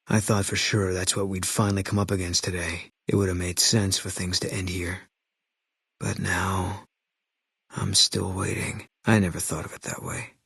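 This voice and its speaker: An actor voicing a grim, haunted ex-soldier sniper in a video game, talking in terse, clipped lines with a flat, brooding delivery. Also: male voice, low-pitched, gravelly, slightly rough, no echo, clean studio sound, exaggerated voice. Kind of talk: video game character dialogue.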